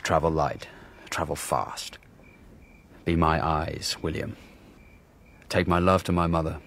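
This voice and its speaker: An actor playing a forceful, stubborn warrior king in a radio drama, blunt and commanding. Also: extremely low pitch, fairly even intonation, slow pace, gravelly, full character voice. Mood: earnest command.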